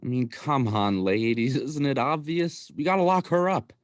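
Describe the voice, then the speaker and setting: A woman's voice: very deep voice, expressive, normal speed, slight background noise. A young woman acting in a radio play as an exasperated, incredulous uncle, a shady small-time salesman. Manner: incredulous, pleading. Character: exasperated, shady adult uncle, male watch peddler. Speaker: student actor in radio play.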